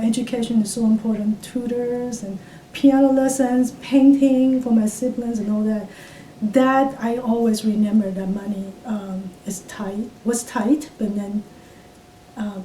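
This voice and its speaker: A woman in her fifties from Taiwan with a Taiwanese accent, speaking English as a second language and recalling her past in an oral history interview, halting with many fillers and restarts. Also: mellow. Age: 50s. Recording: roomy, distant mic with faint rumble.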